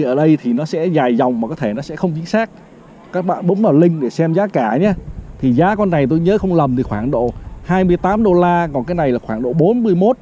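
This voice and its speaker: Casting: man in his 50s, friendly reviewer tone, slightly high and mellow, on a rooftop with a lapel mic. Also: slight background noise. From Vietnam. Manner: chatty, explains step by step, fills with "đó" and "vâng". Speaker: product reviewer. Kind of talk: casual product review vlog. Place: rooftop terrace outdoors in a city. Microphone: clip-on lapel mic. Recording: slightly dull lo-fi outdoor sound.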